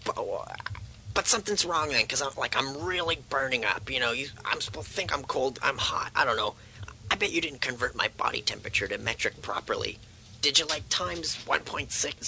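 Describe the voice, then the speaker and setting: A man acts out a crotchety male patient with a piercingly sharp, gravelly voice. He whines, blusters and barks demands like a sketch-comedy grouch. Faint noise sits behind him. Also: mid-range voice, heavily acted, poor audio. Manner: whiny, blustering, grumbling, barking orders. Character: cranky, demanding, insulting male hospital patient.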